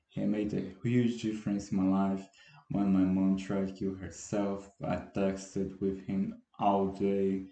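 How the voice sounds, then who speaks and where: An eighteen-year-old Brazilian man with a Brazilian Portuguese accent and a very dark, mellow voice that sits a little low. He speaks English as a second language, halting and self-deprecating, with frequent restarts. His voice echoes around a bare room. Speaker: podcast host. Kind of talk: casual solo podcast monologue. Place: room at home, dogs barking outside.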